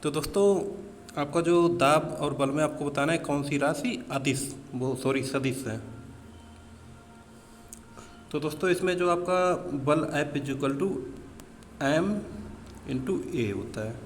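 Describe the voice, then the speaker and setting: A man's voice: fairly high pitch, a little slow, faint music behind. A man teaching exam practice questions in an explanatory way, mixing in English technical terms and addressing listeners as friends. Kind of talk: practice question walkthrough lesson. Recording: reverberant room with background noise.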